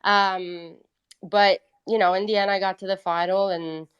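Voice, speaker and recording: A woman's voice: medium pitch, little pitch movement, a little slow, clear, resonant tone, muffled recording. A native Italian-speaking woman from Italy telling her story casually and candidly as an interview guest. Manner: candid, informal. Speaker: guest, independent musician.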